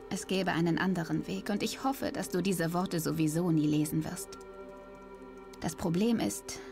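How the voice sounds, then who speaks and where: Low-pitched woman, earnest vigilante, grave confessional diary, audio drama, music behind. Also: creaky.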